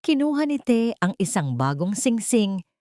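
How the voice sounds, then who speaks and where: A woman's voice: medium pitch, big pitch swings, moderate pace, warm tone, dry recording, studio quality. A woman reading dramatic scripted narration of a love story.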